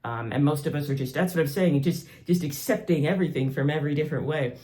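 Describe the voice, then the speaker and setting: A man's voice: on the high side, fast talker, mellow. A man with a Southern US accent giving a casual spiritual talk in an earnest, reflective, conversational way. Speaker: spiritual teacher and channel host. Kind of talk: casual monologue teaching.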